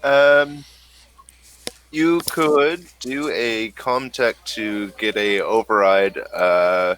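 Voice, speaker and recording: Deep, slow-talking game master. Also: male voice, steady tone, fairly bright tone, smooth, low-quality recording. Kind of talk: running a tabletop game.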